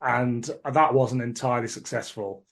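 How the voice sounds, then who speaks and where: A male podcast host with a medium-pitched voice, talking casually as he reviews and discusses. His delivery is that of relaxed conversation between two people, not a script. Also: normal speed, creaky.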